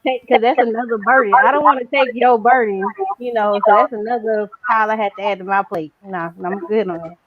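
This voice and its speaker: A woman in casual conversation who talks in long, rambling stretches full of fillers and pushes her naturally quiet voice louder. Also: fairly high pitch, brisk, few pauses, narrow, tinny audio, a little hiss or hum. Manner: says her natural voice is very quiet but trains herself to speak louder. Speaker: guest. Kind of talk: casual chat.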